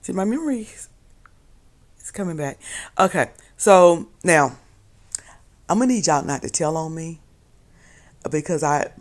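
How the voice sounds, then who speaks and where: Hoarse woman chatting casually. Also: low-pitched. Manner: rambling, self-correcting. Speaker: vlogger. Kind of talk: casual chat to camera.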